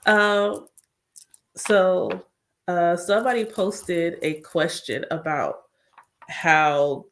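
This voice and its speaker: A woman's voice: on the low side, steady tone, drawn-out words, slight background noise. A woman and spiritual coach, congested, chatting casually and directly to her viewers about her own experience. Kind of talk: casual chat.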